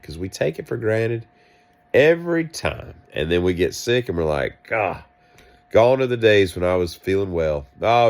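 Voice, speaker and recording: A man with a deep voice, sick and coughing, telling a rambling, wry story in a vlog. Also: gravelly, a little mellow. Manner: wry, self-mocking, meandering asides. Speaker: vlogger.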